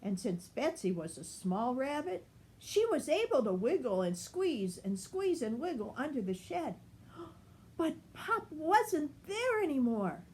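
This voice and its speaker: An older woman reads a children's story expressively. Her high voice moves up and down a lot at a slow pace, with faint noise behind her. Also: big pitch swings, a little mellow, poor audio. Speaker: grandmother reading a children's story.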